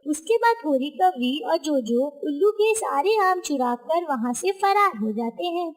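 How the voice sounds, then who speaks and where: Woman w/ a high voice reading out a kids story. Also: lively intonation, a little slow. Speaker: narrator. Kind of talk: scripted children's story narration.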